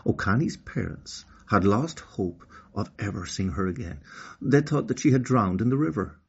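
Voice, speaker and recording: A man narrating a children's story as an expressive storyteller. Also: lowish voice, moderate pace, creaky, slightly rough, lo-fi sound.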